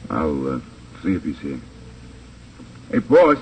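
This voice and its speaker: An actor playing a wary, gruff gangster henchman in a 1950 radio crime drama, casual, clipped and slangy. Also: rumbling low, big pitch swings, takes it slowly, heavily acted. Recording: muffled, hissy old radio transcription.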